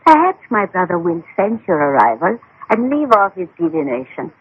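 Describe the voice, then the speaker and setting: Courteous young woman, very husky, radio drama, formal phrasing, thin phone-like old broadcast. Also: mid-range voice, sing-song, normal speed, heavily acted. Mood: gracious, deferential. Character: beautiful young Indian woman, fortune teller's gracious, deferential sister.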